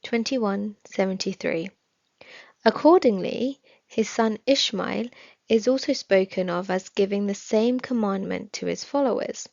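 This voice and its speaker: Woman, scripted study narration, formal, measured delivery, medium pitch, dry close sound. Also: creaky, darkish tone, no echo. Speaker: reader of a religious study text. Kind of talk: scripted narration, reading aloud. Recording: slightly dull, dry recording.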